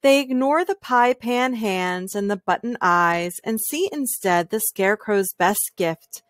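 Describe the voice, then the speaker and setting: Calm woman reading a picture book, dry sound. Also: mid-range voice, measured pace, no room sound. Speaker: read-aloud storyteller. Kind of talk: calm children's picture book read-aloud.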